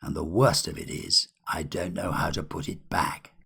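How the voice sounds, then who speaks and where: A man voicing a nervous, despairing young antiquarian in a storybook, resigned and halting, sometimes muttering. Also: low voice, steady tone, gravelly, sharp tone, breathy edge, heavily acted. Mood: rueful despair.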